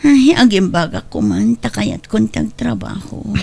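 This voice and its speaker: A woman's voice: mid-range voice, huge pitch swings, measured pace, creaky, warm tone, husky, full character voice. An actress with an Ilocano Filipino accent playing a discontented, stubborn young wife and mother in an audio drama. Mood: weary. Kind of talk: dramatic radio-style drama acting in Ilocano.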